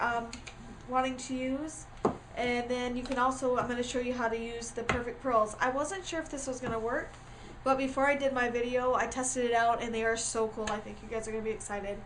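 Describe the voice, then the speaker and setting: Woman, high voice, congested from a cold, chatty and full of filler words, camera-mic sound with faint ambience. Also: level tone, fairly quick, background noise. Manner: chatty with frequent ums and uhs. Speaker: craft tutorial host. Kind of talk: casual craft tutorial.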